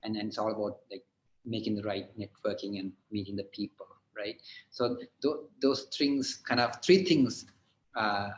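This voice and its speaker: A man speaking as a guest mentor in a relaxed online live chat. He hesitates often, restarting his sentences with "uh", and his voice drops off softly as he finishes a thought. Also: on the low side, moderate pace, rough recording. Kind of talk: casual livestream interview.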